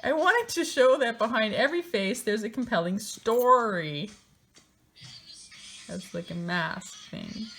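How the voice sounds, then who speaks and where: A woman's voice: fairly high pitch, animated, measured pace, a bit bright, faint music behind. A woman reading a book aloud in a theatrical, mocking way, breaking into casual, sardonic asides of her own.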